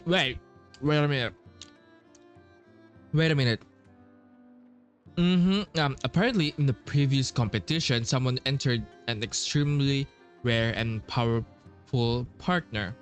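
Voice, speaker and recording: A man's voice: medium pitch, measured pace, pauses often, soft background music. A man giving casual playthrough commentary on a video game, musing hesitantly and repeating himself. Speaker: game streamer.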